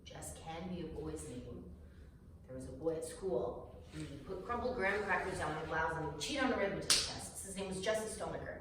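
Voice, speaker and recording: An actress playing Tilda, an anxious, clinging psychiatric patient, with intense, emphatic, theatrical delivery. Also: female voice, fairly low pitch, moderate pace, lightly acted. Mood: insistent. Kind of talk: theatrical stage monologue acting. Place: live stage showcase in a room. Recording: echoey room, distant mic, background noise.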